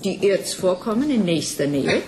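An actress playing a brisk, wryly cynical colony planner in a 1980s German radio play. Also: female voice, medium pitch, big pitch swings, noisy background, lightly acted. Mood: pleased, wry. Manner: businesslike, wry.

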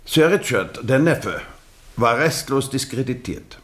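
A probing investigator in a radio drama, with a very animated, swooping delivery. He is measured and elaborately courteous, with an ironic edge to his politeness. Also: mid-range voice. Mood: pointed questioning. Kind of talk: German radio drama acting, interrogation scene.

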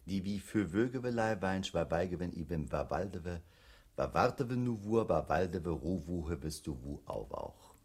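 Radio play computer, low raspy voice, reciting scrambled poem syllables mechanically. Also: male voice, animated, normal speed, a little mellow, heavily acted. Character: computer memory unit reciting garbled poetry mechanically. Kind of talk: experimental radio play acting.

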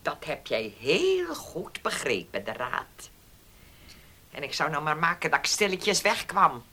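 An actress playing a domineering, scheming farm mistress in a Dutch radio play, commanding and condescending. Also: female voice, deep voice, sing-song, sharp tone, heavily acted. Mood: coldly threatening. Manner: commanding, condescending, deliberate threats.

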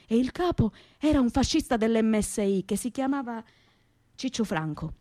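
A woman's voice: fairly high pitch, animated, normal speed, dark tone, dry recording, studio quality, lightly acted. A woman playing a naive, plain-spoken young working-class woman from Reggio Calabria, in a theatrical monologue with a Calabrian accent. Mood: earnest, naive. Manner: colloquial dialect-tinged telling, repetitive. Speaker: stage actress, narrator. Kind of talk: theatrical first-person monologue, radio theatre storytelling.

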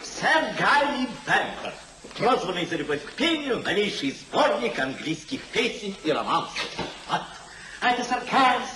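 Carefree young English gentleman, very high, swooping voice, theatrical radio-play delivery, echoey old recording. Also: sing-song, measured pace, distant mic, slight background noise, full character voice. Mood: merry, tipsy. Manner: theatrical, exuberant, declamatory. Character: merry spendthrift young English gentleman host, boisterous and carefree. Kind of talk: 1950s Soviet radio play acting.

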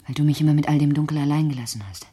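A breathy, haunted, accusing daughter. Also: female voice, deep voice, fairly even intonation, racing, warm tone. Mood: defiant. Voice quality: breathy, whispery. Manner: intense, brooding, accusing. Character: fearful, accusing, reclusive grown daughter haunted by her home. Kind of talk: radio play acting.

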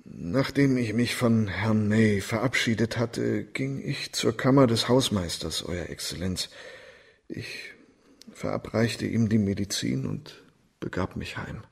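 Gravelly, husky accused doctor, humbly pleading. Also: male voice, fairly low pitch, a little slow, full character voice. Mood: humble, nervous. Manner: formal, defensive, deferential. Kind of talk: German radio crime drama acting.

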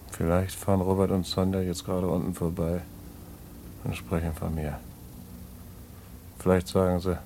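An actor playing a streetwise, fatalistic small-time crook in jail, musing in colloquial German radio-play style. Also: male voice, sits low, mellow, a little hiss or hum.

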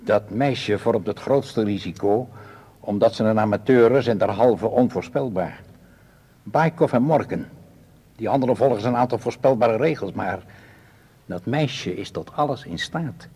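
A husky male villain in a radio drama, cold and commanding. He speaks with measured authority in a long, hollow reverb. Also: lowish voice, mellow. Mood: cold, calculating. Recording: long reverberant room echo.

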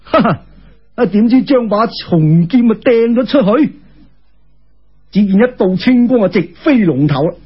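A man telling a folk tale in Cantonese in a theatrical, colloquial storytelling style with exclamations and drawn-out particles, on a lo-fi recording. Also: sits high, animated, husky.

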